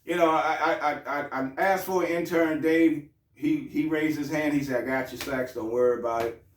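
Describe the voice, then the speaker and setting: A man's voice: fairly high pitch, steady tone, moderate pace, echoey room, distant mic. A man hosting his own show in a loose, joking, profane way, with frequent restarts. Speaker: host. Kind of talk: casual show talk.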